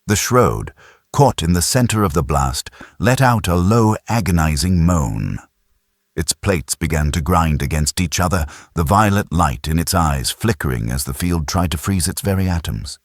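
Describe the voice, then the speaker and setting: A man's voice: deep voice, expressive, normal speed, dry recording, clean studio sound. A man narrating a science fiction audiobook in a dramatic, scripted style.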